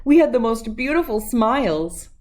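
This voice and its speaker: Woman as delighted storybook girl, gushing, squealing, very lively pitch. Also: moderate pace, close to an everyday voice.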